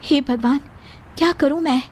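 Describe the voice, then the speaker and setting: Woman as an anxious, high-voiced young daughter-in-law. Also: normal speed, a little mellow, a little hiss or hum, lightly acted. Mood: worried. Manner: pleading, exclaiming. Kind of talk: moral story character voice.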